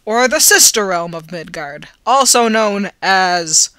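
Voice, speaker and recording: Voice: mid-range voice, lively intonation, takes it slowly, sharp tone, clean tone, no echo. An amateur reader narrating a fantasy story in a theatrical, tongue-in-cheek way.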